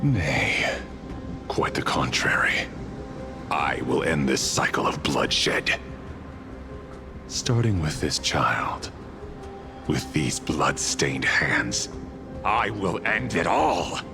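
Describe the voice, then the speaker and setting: A grim warrior king speaks in an extremely deep voice, slow and imperious. He sounds ruthless and solemn, with dramatic game music behind him. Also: very animated, full character voice. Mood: grim resolve. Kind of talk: dramatic video game acting.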